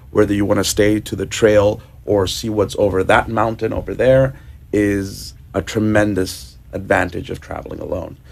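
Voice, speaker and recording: A man from Ecuador, a guest on a radio show, telling his story in a relaxed, casual way. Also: on the low side, creaky. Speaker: guest motorcyclist.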